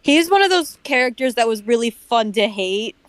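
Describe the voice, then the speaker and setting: A woman's voice: on the high side, lively intonation, normal speed, no room sound. A woman chatting casually as a co-host, making dry jokes about a story. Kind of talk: casual chat.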